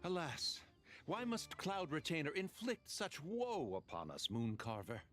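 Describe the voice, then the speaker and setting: Lamenting mythical game creature, fairly high male pitch, grandly melodramatic. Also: big pitch swings, a little slow, fairly bright tone, faint music behind. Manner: melodramatic, archaic. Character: woeful, theatrical immortal beast, lamenting. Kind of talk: video game voice acting.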